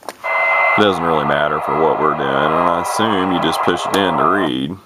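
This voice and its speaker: A man giving a casual, unscripted radio demo in a folksy, rambling way, thinking out loud as he goes. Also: very deep voice, normal speed, very noisy. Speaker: hobbyist demonstrating a CB radio.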